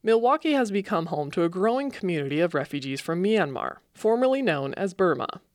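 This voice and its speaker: A woman's voice: on the low side, big pitch swings, a little fast, darkish tone, no echo. Radio host woman, dry studio voice. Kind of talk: scripted radio narration.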